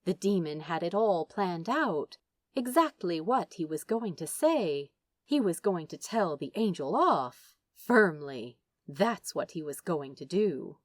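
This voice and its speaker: A woman reading a story aloud as a narrator, with dramatic, deliberate phrasing and drawn-out words. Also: lowish voice, very animated, no echo. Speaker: audiobook narrator. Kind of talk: expressive scripted story narration.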